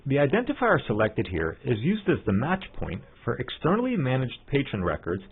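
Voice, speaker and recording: A man giving scripted step-by-step tutorial narration as a software trainer, in an instructional manner. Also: lowish voice, breathy edge. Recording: muffled narrow phone-like line.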